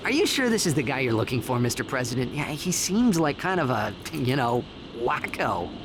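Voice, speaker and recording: An actor playing a brash, boyish, sarcastic young hero in a video game, with a wry, casual manner. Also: male voice, mid-range voice, very animated, normal speed, natural voice. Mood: exasperated. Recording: loud background noise.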